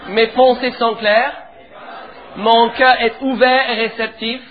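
A middle-aged man from England, a pastor preaching a sermon in French with an English accent, leading the congregation in animated declarations. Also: extremely high pitch, slow pace, a faint crowd. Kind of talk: sermon in church. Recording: tinny phone-like sound with faint music.

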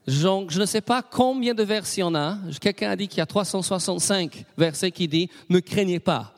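A man's voice: sits high, fairly quick, in a large hall. A middle-aged British man, a native English speaker with an English accent, preaching a sermon and leading the congregation in call-and-response with frequent amens. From Britain. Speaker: pastor.

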